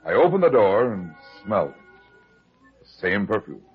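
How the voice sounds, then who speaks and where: An actor playing a hard-boiled, heavyset private detective, terse and deadpan, in old-time radio style. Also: male voice, lowish voice, moderate pace, slightly rough, soft background music, full character voice. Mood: brooding narration. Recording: dull, lo-fi old radio drama recording.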